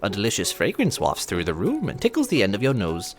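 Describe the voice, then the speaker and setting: A man co-hosting a game playthrough, switching between casual chat and animated story narration. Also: fairly low pitch, quick pace. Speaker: gaming video co-host and narrator. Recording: music behind.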